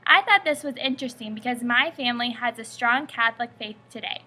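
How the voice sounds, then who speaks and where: Bright high-voiced young woman reading aloud. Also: brisk. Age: young adult. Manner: steady scripted recitation. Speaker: student presenter. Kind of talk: reading a school family-history presentation.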